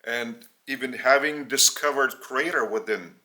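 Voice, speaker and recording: Slow-speaking Lithuanian man preaching. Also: mid-range voice, sharp tone, poor audio. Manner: slow, halting delivery in short phrases. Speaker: spiritual teacher. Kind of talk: spoken religious teaching in English.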